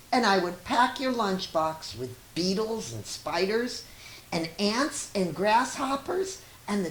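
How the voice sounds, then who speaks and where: A woman reading a children's story aloud as a teacher, expressively and with emphatic, measured phrasing. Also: fairly low pitch. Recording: roomy echo with faint hiss.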